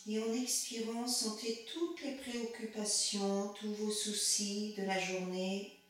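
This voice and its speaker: A woman leads a guided relaxation in a calm, measured manner. She speaks slowly, in short phrases. The recording is very echoey, with the microphone some distance from her. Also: medium pitch. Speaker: yoga nidra instructor.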